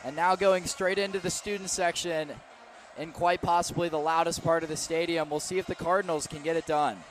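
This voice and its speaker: A man's voice: a little high, fairly even intonation, a little fast. A man giving live football analysis on radio, conversational and free with his opinions. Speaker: color commentator. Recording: faint stadium noise behind.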